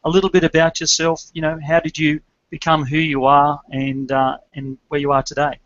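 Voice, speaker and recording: Upbeat host with a higher male voice. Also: monotone, fairly quick, fairly bright tone. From Australia. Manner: upbeat, scripted-sounding introductions. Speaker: podcast host and business coach. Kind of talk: podcast interview hosting. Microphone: remote call, dull lo-fi and dry. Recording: dull dry online call audio.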